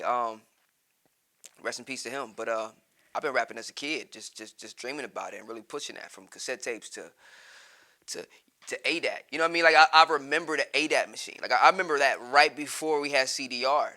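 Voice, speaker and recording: A man's voice: mid-range voice, quick pace, pushed and bright. A man from Columbus, Ohio, chatting easily as a guest and laughing often. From Columbus, Ohio, born in Texas. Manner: laid-back, laughs often, says "you know what I mean". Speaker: guest rapper. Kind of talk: casual interview chat.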